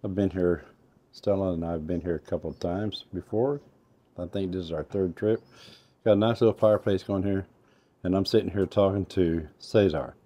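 A man's voice: deep voice, steady tone, normal speed, mellow. An older man hosting a casual interview on an outdoor patio, rambling and restarting his sentences, recorded from a camera at a distance. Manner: rambling, repeats and restarts himself. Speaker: host. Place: covered outdoor patio by a fireplace.

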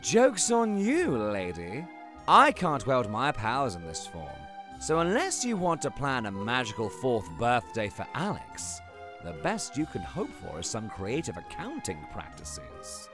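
A man's voice: medium pitch, huge pitch swings, unhurried, over music. An actor playing a formal, precise male assistant who hides a mischievous, mocking trickster god, in an audio drama. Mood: gleeful, mocking.

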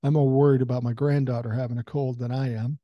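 A man's voice: medium pitch, little pitch movement, fast talker, dry recording, lo-fi sound. A man in his sixties hosting a podcast from a room at home, talking casually and bluntly and swearing now and then, with a common cold. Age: 60s. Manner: blunt, profane and conversational. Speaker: podcast host. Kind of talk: casual political commentary monologue. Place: home room with a couch behind him. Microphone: large foam-covered dynamic mic close to the mouth.

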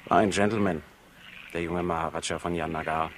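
A man's voice: low-pitched, steady tone, a little fast, close to an everyday voice. An actor playing a wry, aggrieved British intelligence man who recounts affairs in a 1960s radio crime play. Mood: explaining, correcting. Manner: wry, opinionated, storytelling. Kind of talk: 1960s German-language radio crime drama acting. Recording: faintly noisy old radio recording.

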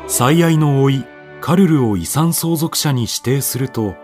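A man's voice: medium pitch, expressive, a little slow, a little mellow, soft background music. A man narrating a radio drama from a script in a solemn, declamatory, dramatic manner. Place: studio voice-over with music behind.

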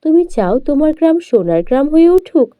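A woman voicing a benevolent, wise magical fairy who gently cautions, in a children's cartoon fairy tale. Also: high-pitched, very animated, a little fast, no echo, phone-quality sound, voice pushed up. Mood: gently cautioning.

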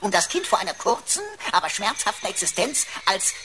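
A woman's voice: medium pitch, lively intonation, a little fast, noisy background, lightly acted. A woman playing a cheeky, wordy talking computer in a sci-fi radio play, pompous and mock-servile with playful wordplay.

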